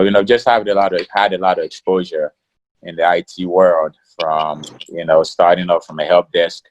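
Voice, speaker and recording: A middle-aged man from Ghana, a guest in an interview, talking in a relaxed way with many fillers. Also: very deep voice. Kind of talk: casual interview talk.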